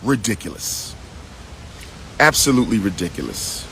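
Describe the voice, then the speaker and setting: A man's voice: on the low side, sing-song, moderate pace, gravelly, a bit bright. Emphatic preaching man, faint road noise. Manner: emphatic, repetitive rhetorical cadence. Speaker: activist speaker. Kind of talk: preaching monologue.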